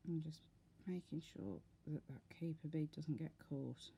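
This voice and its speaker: A woman's voice: deep voice, a little slow, mellow, slight background noise. A woman giving a casual, step-by-step craft tutorial, talking informally and unhurriedly as she works.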